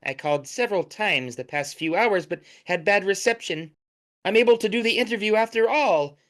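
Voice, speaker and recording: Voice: medium pitch, moderate pace, fairly bright tone, lightly acted. An actor playing a self-absorbed, fussy visitor in a comic audio play, talking in a wordy, complaining way. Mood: impatient, pleading.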